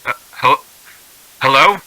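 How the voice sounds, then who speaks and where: Man acting a bright-voiced, haunted radio drama traveler. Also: mid-range voice, slow pace, dry recording, exaggerated voice, low-quality recording. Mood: panicked disbelief. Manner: dramatic, stammering when shaken. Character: distraught, haunted male traveler on the edge of panic.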